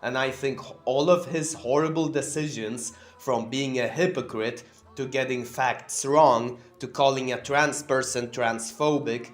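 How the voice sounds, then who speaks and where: A man's voice: mid-range voice, fairly even intonation, a little slow. A man hosting a commentary channel, narrating in a sarcastic, scornful way. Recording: roomy room, faint music behind.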